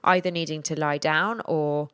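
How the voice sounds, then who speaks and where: A British woman co-hosting a casual chat podcast, chatty and quick to laugh. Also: low voice, steady tone, a bit bright, clean tone, dry recording, clean studio sound. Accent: British English. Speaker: podcast co-host.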